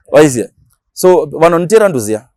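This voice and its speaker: A Shona-speaking man with a Zimbabwean accent, talking casually as an interview guest and explaining things in animated, slangy language with acted-out examples. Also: on the high side, normal speed, no echo, studio quality. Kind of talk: casual interview talk mixing Shona and English.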